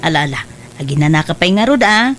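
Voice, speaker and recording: A woman's voice: low voice, animated, fairly quick, slight background noise. Kindly Filipino mother. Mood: caring, motherly. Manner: gentle, solicitous. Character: kindly, caring mother and aunt. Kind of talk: audio drama acting.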